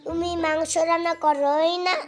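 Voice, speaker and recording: A woman's voice: very high-pitched, level tone, moderate pace. A woman voicing a poor, stubborn but sweet little boy in a homemade cartoon, whiny and pleading. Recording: music playing behind.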